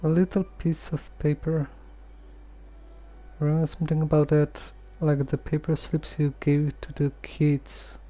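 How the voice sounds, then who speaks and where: A man streaming a game with casual commentary. His voice is mellow and a bit high, and he speaks slowly, musing hesitantly to himself. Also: level tone, soft background music, rough recording. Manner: hesitant, musing, puzzled aloud.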